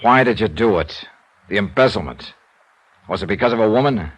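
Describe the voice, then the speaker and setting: A man's voice: fairly low pitch, lively intonation, a little fast, slightly rough, poor audio. An American man playing a weary, dogged insurance investigator in 1950s radio detective style, wry, clipped and world-weary. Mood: cool, probing. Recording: old radio recording with music behind.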